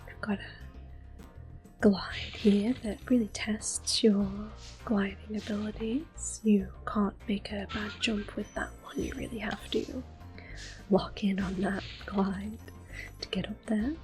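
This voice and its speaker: Female ASMR gameplay host: soft-spoken to whispered, unhurried delivery, medium pitch. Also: fairly even intonation. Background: game music.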